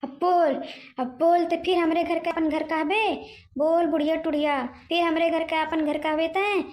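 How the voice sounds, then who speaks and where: A woman's voice: extremely high pitch, steady tone, normal speed, darkish tone. A woman voicing a bossy, vengeful village woman in a cartoon, hectoring with demanding questions in an Awadhi accent. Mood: taunting, angry.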